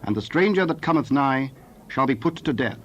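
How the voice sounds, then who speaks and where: A man narrating scripture from a script in a formal, measured storytelling manner. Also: medium pitch, animated, fairly bright tone. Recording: dull sound with faint background noise.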